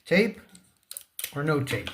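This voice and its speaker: A man giving a casual hands-on repair demonstration, speaking in halting short fragments and muttering to himself as he works. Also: a little high, big pitch swings, fairly bright tone, slight background noise. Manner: talks to himself and the object. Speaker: repair tutorial presenter.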